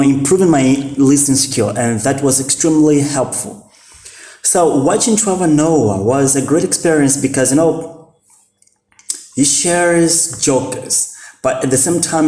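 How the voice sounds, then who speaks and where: A young Mozambican man whose first language is Portuguese, talking casually in English about a book he likes, halting and often correcting himself. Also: medium pitch, dark tone, reverberant. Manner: halting, with fillers and self-corrections. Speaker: vlogger. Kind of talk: casual spoken book recommendation.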